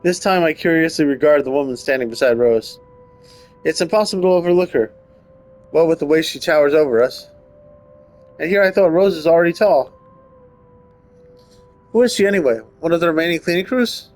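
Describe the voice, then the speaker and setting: A man's voice: on the high side, fairly quick, pauses often, a little mellow, soft background music. A man reading visual novel narration aloud as a let's player, breaking off for wry, nitpicking casual commentary.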